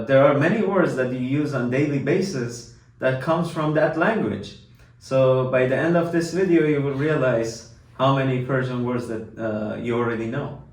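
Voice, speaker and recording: A man's voice: mid-range voice, very echoey, recorded from a distance. A young man from Iran hosting a casual talk, chatty and laughing often as he explains where words come from. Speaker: host. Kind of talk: casual educational chat.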